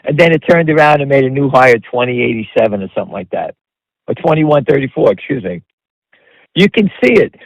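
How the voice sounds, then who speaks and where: A man's voice: medium pitch, animated, brisk, no room sound, low-quality recording. A man hosting an off-the-cuff stock commentary call, speaking bluntly and emphatically, repeating himself as he addresses listeners directly. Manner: conversational, talks directly to listeners.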